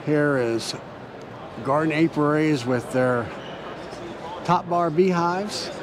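A man hosting a walking tour of a convention hall, narrating in a relaxed, plain-spoken way while filming. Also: a little high, takes it slowly. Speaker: channel host. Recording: noisy trade show hall.

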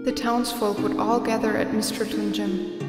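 A woman's voice: mid-range voice, level tone, normal speed, lightly acted. A woman voicing a proud, determined young gym leader in a manga dub, serious and dramatic. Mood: wistful. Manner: earnest, dramatic. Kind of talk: anime-style manga dub. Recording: echoey hall reverb under loud music.

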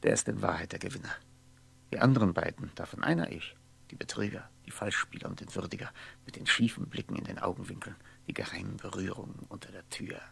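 An actor playing a lonely, bitter middle-aged man in a 1960s radio play monologue, brooding wearily and haltingly, often in a hushed voice. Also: low-pitched, full character voice. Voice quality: husky, gravelly.

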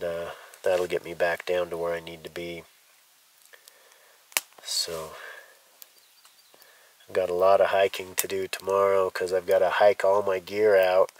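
A man in his thirties talking casually to the camera while he cooks and eats at a forest campsite, savoring the food. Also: deep voice. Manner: relaxed, murmuring while tasting food. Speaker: outdoor cooking vlogger. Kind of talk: casual camp narration. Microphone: camera mic a few feet away. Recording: distant outdoor camera audio with noise.

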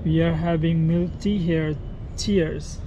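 A man's voice: a little high, steady tone, slow, rough recording. A man from southern Nepal with a Nepali accent, a tour guide narrating a casual walking vlog, chatty and friendly, asking questions and laughing often. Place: busy city streets outdoors. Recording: loud street and traffic noise. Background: traffic noise.

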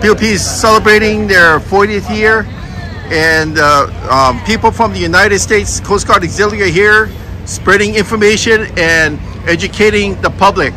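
Brief: friendly male vlogger, high voice, handheld camera, crowd noise all around. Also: moderate pace. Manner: friendly, chatty, thanks exhibitors. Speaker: vlogger touring a fishing expo. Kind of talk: casual walkaround narration. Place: outdoor harbor expo among tents. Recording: crowded outdoor event. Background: crowd chatter.

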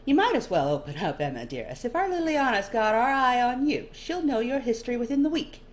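A woman's voice: a little high, sing-song, fairly quick, voice pushed up. A woman voicing a teasing, flamboyant nobleman in a story, with a theatrical, prying manner. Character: smirking, flamboyant, teasing nobleman with a dramatic mustache.

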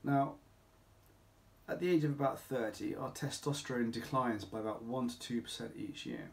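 Creaky-voiced middle-aged male doctor. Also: mid-range voice. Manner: emphatic, deliberate, with rhetorical questions. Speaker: doctor at a men's health clinic. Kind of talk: informal explainer talk to camera. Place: small home office or consulting room, indoors.

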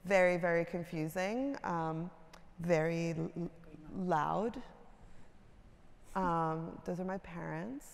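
A middle-aged woman from Iran telling her life story on a conference stage, with a slow, humorous manner full of ums. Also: on the low side, vocal fry. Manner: warm-humored, drawn-out, filler-heavy. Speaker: creative director, speaker. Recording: hall reverb.

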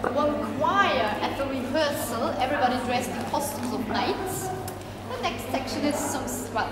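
Woman tour guide in an echoey hall. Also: high-pitched. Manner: loose, self-correcting explanations with many fillers. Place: large ornate hall indoors. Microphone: handheld camera held away from her. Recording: echoey hall from far off, noisy.

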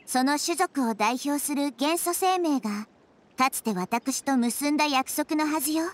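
A woman voicing a gentle, wise little girl deity in an anime game, speaking softly and with composure. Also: high-pitched. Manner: soft, composed, feminine phrasing with measured delivery. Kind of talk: anime game dialogue acting.

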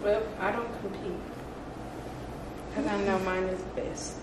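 A woman speaks casually at a medium pitch, blunt and sure of herself. She sounds a little off-mic in a small, echoey room. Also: level tone, takes it slowly. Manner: blunt, self-assured. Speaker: hairstylist and makeup artist. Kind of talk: casual chat. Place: small room indoors, off camera. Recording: distant echoey mic, clearly noisy room.

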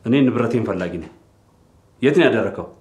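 A man's voice: lowish voice, expressive, rapid-fire, darkish tone, natural voice. An actor playing an insistent man demanding his property back in a film drama. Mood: pressing, demanding. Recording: echoey room film sound.

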